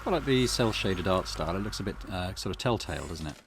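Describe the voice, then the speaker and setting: Deep-voiced man casually narrating a game. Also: expressive, quick pace, slight background noise. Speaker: gaming channel host. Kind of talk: casual let's play commentary.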